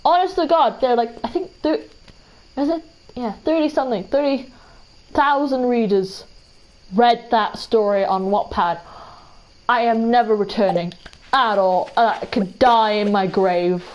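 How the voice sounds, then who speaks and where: Slow, rambling young woman. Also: on the high side, low-quality recording. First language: Welsh. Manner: rambling, full of "like" and restarts, giggly. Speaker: streamer. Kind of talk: casual chat while playing a game. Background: game music.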